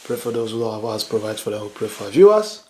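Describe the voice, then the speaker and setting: A man's voice: on the low side, sing-song, fast, a little mellow. A man with a Nigerian accent co-hosting a mukbang vlog, talking casually and repeating phrases for emphasis. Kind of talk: casual chat while eating. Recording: roomy echo, faint noise, dish clinks. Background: clicks, cutlery and dishes.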